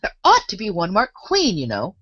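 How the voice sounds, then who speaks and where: Young girl, impatient, puzzled, lively, woman reader, storybook. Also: mid-range voice, moderate pace, breathy edge, no room sound, highs cut off, heavily acted.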